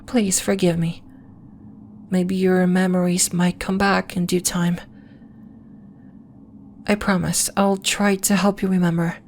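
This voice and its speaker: A woman playing a gentle, earnest alien healer from the moon in an ASMR roleplay, speaking in a soft, measured, formal and reassuring way. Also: fairly low pitch, little pitch movement, slight background noise. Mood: apologetic. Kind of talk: ASMR roleplay, scripted character acting.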